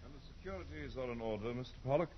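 A man's voice: medium pitch, rapid-fire, close to an everyday voice. A man playing a genteel, fussy London banker, polite and proper, in a post-war radio drama. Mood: businesslike. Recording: dull vintage radio recording.

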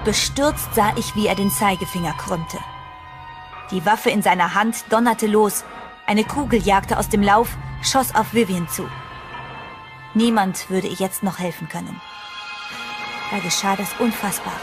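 A woman's voice: mid-range voice, steady tone, unhurried, pauses often, over music, lightly acted. An actress playing a frightened heroine who recounts her ordeal in dramatic, suspenseful first-person narration for a horror audio drama. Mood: dramatic, shaken.

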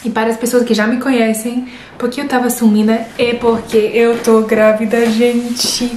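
A young woman from Canada speaks learned Portuguese, upbeat and chatty. Her voice sits a little high. She talks on a couch through earphone mic, the living room sounding roomy with a faint hiss. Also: little pitch movement, normal speed, a little mellow. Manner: upbeat, chatty, friendly asides. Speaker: reaction video host. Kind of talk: casual chat to viewers. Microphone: earphones with inline mic.

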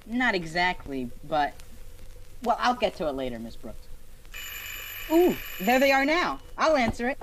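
A woman's voice: medium pitch, very animated, measured pace, long pauses, slight background noise, poor audio. A woman playing a gawky, eager teenage schoolboy in old-time radio comedy style, hesitant and earnest. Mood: eager, awkward. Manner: hesitant, gee-whiz earnest.

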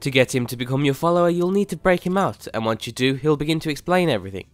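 A man's voice: a little high, expressive, fast talker, faint music behind. A man reading scripted narration as a video game mod reviewer, in an explanatory way.

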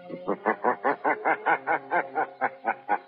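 A man's voice: medium pitch, extremely slow, faint music behind. An actor playing a sinister unseen male avenger with an echoing, cackling laugh, from a tinny 1930s radio broadcast. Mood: menacing.

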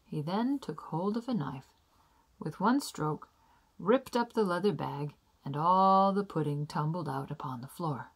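A young woman narrates a storybook tale for children. Her voice sits low for a woman and has a husky, breathy grain. She reads in a deliberate, careful way, as a storyteller in a small room. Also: expressive. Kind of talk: reading a children's fairy tale aloud.

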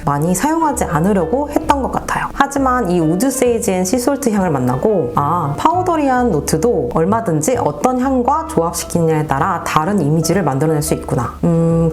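A woman perfumer calmly reviewing a fragrance, her voice a bit nasal and stuffy from a head cold. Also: on the low side. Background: background music.

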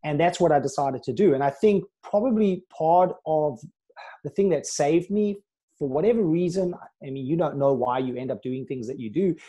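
A middle-aged man from South Africa telling his life story as a podcast guest, earnest and steady, with pauses and fillers, on a video call. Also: a little high, breathy edge. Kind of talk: candid life story in a conversation. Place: small room with a plain wall. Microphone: wired earbud mic on a video call.

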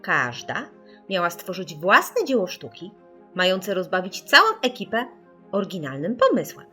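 A woman reading a children's audiobook as an expressive storyteller, putting dramatic emphasis on key words. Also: on the low side, moderate pace, soft background music.

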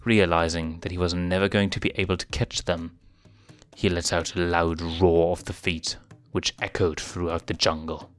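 A man reading fan fiction aloud in a steady storybook narration. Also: sits low, normal speed.

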